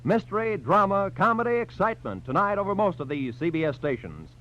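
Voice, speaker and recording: A man's voice: high voice, sing-song, brisk. An American man announcing on 1940s radio, reading scripted credits and promotions in a polished, emphatic, formal style. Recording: dull 1940s radio recording.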